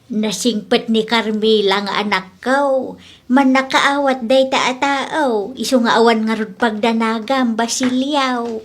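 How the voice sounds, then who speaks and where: A woman's voice: a little high, steady tone, unhurried. A woman playing an older widowed mother who speaks frankly and reassuringly about romance in a radio drama. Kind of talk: Ilocano comedy radio drama acting.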